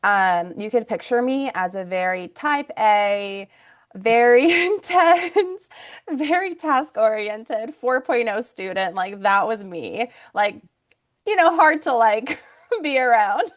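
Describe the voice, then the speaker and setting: A woman's voice: fairly high pitch, expressive, takes it slowly. Chatty woman, Russian first language. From Ukraine. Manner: chatty, many fillers and restarts. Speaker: guest, naturopathic doctor and acupuncturist. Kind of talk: casual interview storytelling.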